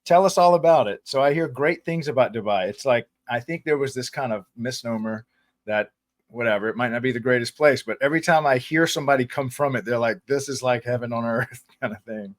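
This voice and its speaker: Guy hosting an interview show, chatty and loose, dry close sound, middle pitch. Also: a little fast, no room sound. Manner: chatty, rambling, friendly questions. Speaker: podcast host. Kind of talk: casual interview. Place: home in New Orleans.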